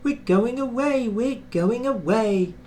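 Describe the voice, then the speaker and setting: A man's voice: extremely high pitch, takes it slowly, a little mellow, a little hiss or hum, voice pushed up. A man voicing happy railway coaches from a children's storybook in a cheerful singsong.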